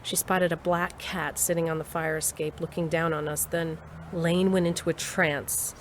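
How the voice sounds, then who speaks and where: A woman's voice: sits low, little pitch movement, moderate pace, background noise, close to an everyday voice. A woman playing a plain-spoken, dryly humorous older narrator telling her adventures in an audio drama. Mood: wry. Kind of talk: scripted first-person narration in audio drama.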